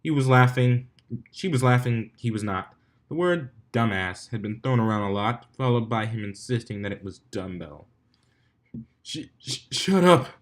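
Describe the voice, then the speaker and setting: A man's voice: fairly low pitch, normal speed. A man reading fan fiction aloud as a narrator, now and then stumbling and rereading a phrase. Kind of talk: story reading with character voices.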